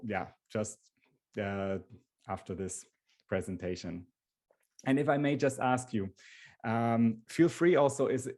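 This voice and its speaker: A man's voice: mid-range voice, darkish tone. German-accented man hosting a webinar. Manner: earnest, repeats and restarts phrases. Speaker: webinar host. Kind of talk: live webinar presentation.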